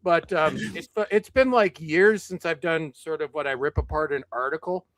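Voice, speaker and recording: A man with a hoarse voice from yelling, chatting casually on a stream, blunt and sweary. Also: on the high side, moderate pace, no room sound. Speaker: video commentator. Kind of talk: casual chat.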